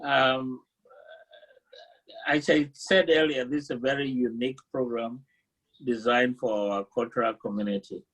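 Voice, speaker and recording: A man's voice: medium pitch, steady tone, unhurried, pauses often, a bit bright, low-quality recording. A man from Cameroon, an insurance representative, earnestly explaining a group policy at a meeting.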